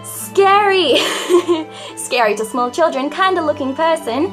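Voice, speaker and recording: A young woman vlogging straight to camera in a very high, cute, girlish voice. She talks in a confessional, dramatic way and keeps poking fun at herself. Soft music plays faintly in the background. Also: lively intonation, takes it slowly. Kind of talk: confessional vlog monologue.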